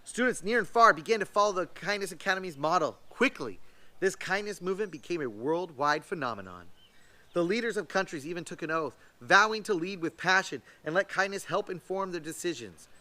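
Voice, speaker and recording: A man reading a children's storybook aloud in an expressive, emphatic way. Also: high voice, brisk. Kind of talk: scripted storybook read-aloud.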